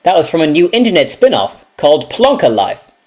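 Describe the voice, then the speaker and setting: A man with a slightly high voice performs a scripted spoof. His delivery is deadpan and sarcastic, like satirical commentary acted out. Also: fairly quick. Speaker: parody writer and performer.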